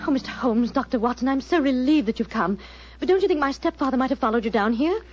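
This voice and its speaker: A genteel, worried young lady, highish voice, earnest, in a muffled vintage radio drama. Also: expressive, quick pace, music in the background. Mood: anxious. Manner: earnest, precise, theatrical diction. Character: anxious, genteel young lady client in danger. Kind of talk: 1940s radio drama acting. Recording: muffled 1940s radio recording.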